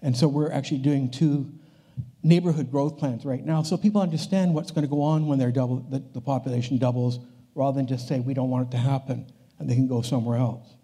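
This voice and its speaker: Older male keynote speaker, deep mellow timbre, casual lecture delivery that circles back on its phrases. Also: steady tone, fast, husky. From Canada. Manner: conversational, restarts phrases, walks the stage. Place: large conference hall stage.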